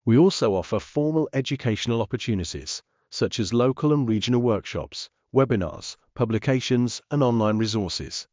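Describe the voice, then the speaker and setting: A man reading a scripted practice passage aloud in a measured, formal manner. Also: medium pitch, lively intonation, darkish tone, dry recording. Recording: muffled lo-fi audio.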